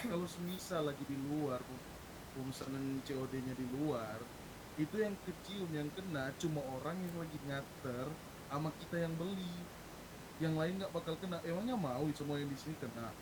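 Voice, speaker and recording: Frank man reasoning on noisy voice chat. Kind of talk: casual in-game roleplay group discussion. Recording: clearly noisy game voice chat, low rumble.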